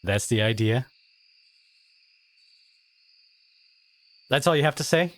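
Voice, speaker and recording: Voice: mid-range voice, animated, fast talker, natural voice. An actor playing a brash teenage school bully who secretly cares, in an exasperated, confrontational audio drama monologue addressed to the listener. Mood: alarmed, angry. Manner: exasperated, confrontational, sighing, emphatic.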